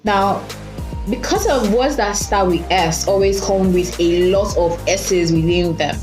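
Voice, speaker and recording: A woman's voice: medium pitch, measured pace, over music. A woman talking casually to camera, giving tips on speaking, who stutters on some sounds and laughs at herself. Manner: chatty, repeats "you guys", laughs at herself. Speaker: vlogger teaching speaking tips.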